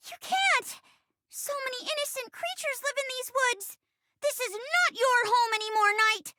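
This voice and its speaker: A woman's voice: very high-pitched, unhurried, pushed and bright, slight background noise, exaggerated voice. A woman voicing a small, earnest, plucky young female forest sprite in a video game, speaking in grand, melodramatic mock-archaic phrases. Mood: dramatic, alarmed. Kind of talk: theatrical fantasy role-play in a video game.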